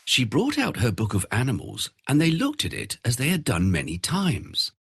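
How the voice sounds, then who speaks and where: A man narrating a fairy tale from a script in an expressive storytelling manner. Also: mid-range voice, moderate pace, husky.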